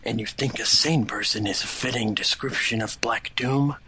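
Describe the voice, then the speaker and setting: A man voicing a stern, matter-of-fact male hedgehog anti-hero in a fan fiction audio drama, with measured, clipped delivery. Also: mid-range voice, fairly even intonation, very noisy, natural voice. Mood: serious. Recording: music behind the voice.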